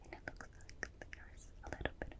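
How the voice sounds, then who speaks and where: A woman voicing a gentle, curious alien examiner in a breathy whisper, slow and soothing, in an ASMR roleplay. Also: close to an everyday voice. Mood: gentle, reassuring. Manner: slow, soothing, softly clinical, repeats phrases. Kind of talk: ASMR roleplay, personal attention.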